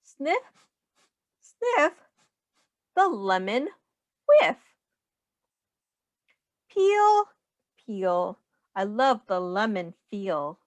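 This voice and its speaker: A woman's voice: medium pitch, very animated, drawn-out words, pauses often, no room sound. A woman reading a children's picture book aloud in a sing-song way, as a teacher speaking simply to young children.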